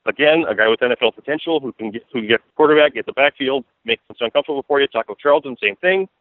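Fast-talking American man on tinny phone line. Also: mid-range voice, no room sound. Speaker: sports beat writer, interview guest. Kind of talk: conversational sports analysis.

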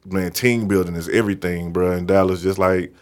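Deep-voiced blunt man. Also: fairly even intonation, fairly quick. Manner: loud, blunt and direct. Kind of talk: casual chat.

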